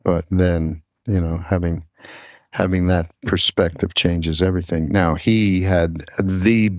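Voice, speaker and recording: An older man telling reflective stories as a podcast host, haltingly, searching for his words. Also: sits low, fairly even intonation, darkish tone, dry recording, clean studio sound. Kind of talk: reflective conversational storytelling.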